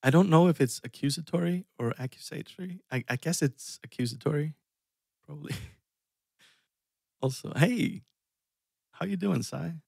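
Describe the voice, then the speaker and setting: A man's voice: a little high, normal speed, vocal fry, long pauses, a little mellow, breathy edge, dry recording, clean studio sound. A man from Iceland in his thirties, chatting casually and rambling on a music live stream, self-deprecating and chuckling often. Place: dark room indoors. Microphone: headset.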